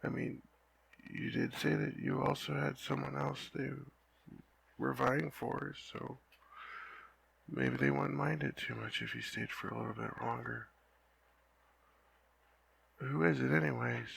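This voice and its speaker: A young man playing a lovesick, flustered knight in an ASMR roleplay, talking softly and haltingly with frequent stammers. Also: medium pitch, fairly even intonation, vocal fry, husky, exaggerated voice, rough recording. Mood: tender, teasing. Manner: halting, stammering, soft-spoken.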